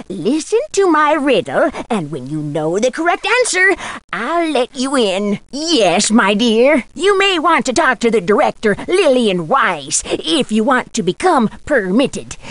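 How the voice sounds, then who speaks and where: A fussy, theatrical older prop mistress in a video game. Her voice is high and swoops grandly from word to word, recorded close and dry. She talks like someone forever posing a riddle. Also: a bit bright, no room sound, exaggerated voice. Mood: officious, prim. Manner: theatrical, riddling, calls listeners "my dear". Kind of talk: video game character acting.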